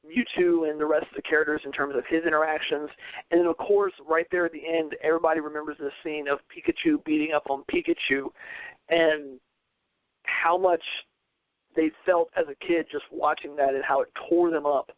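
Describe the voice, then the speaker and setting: A man on a podcast panel in casual discussion, rambling earnestly as he thinks out loud. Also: fairly high pitch, fairly even intonation, normal speed, dry recording. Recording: dull, radio-like lo-fi audio.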